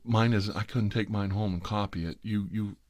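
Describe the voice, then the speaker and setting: A middle-aged man telling a rambling, comic family anecdote in a casual, wry, exasperated manner with drawn-out emphasis. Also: fairly low pitch, steady tone, darkish tone, no room sound. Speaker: host telling a family story.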